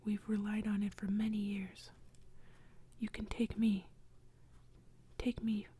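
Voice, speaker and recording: A woman's voice: flat delivery, mellow, breathy edge. A woman voicing a frightened village boy, whispering in a raised, pleading tone. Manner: whispered, higher and hurried, pleading.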